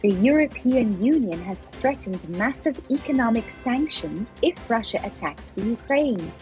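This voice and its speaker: A woman presenting the news on a live stream, reading in a measured, even way. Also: on the high side, soft background music.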